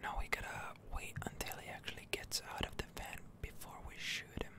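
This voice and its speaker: A gamer whispering ASMR commentary over gameplay, close to the microphone, musing in an unhurried, hesitant way.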